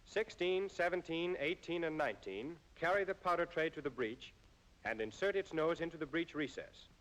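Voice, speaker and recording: An American man reading scripted instructional narration in a formal, measured way, as in an old military training film. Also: a little high, lively intonation, a bit bright, poor audio.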